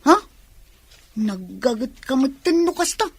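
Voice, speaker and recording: High-voiced doubtful young factory girl played by an actress. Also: sing-song, measured pace, husky, no echo, full character voice. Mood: doubtful, curious. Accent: Ilocano. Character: doubtful young female factory worker who quit school. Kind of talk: radio drama acting.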